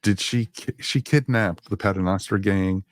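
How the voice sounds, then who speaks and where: Low-voiced man chatting haltingly, very dry sound. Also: no echo. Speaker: podcast co-host. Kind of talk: casual, conversational review.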